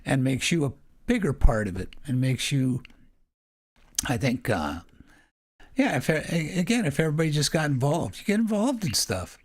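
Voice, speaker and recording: A man's voice: a little high, very animated, moderate pace. Older guy on a podcast, retired teacher, rambles thru stories, restarts a lot, laughs a bit. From Canada. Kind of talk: casual storytelling interview.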